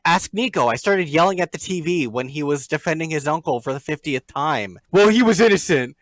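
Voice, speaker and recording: A man's voice: fairly high pitch, animated, brisk, a bit bright, dry recording. A man in a casual podcast chat, giving dry, critical opinions with wry remarks. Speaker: podcast guest.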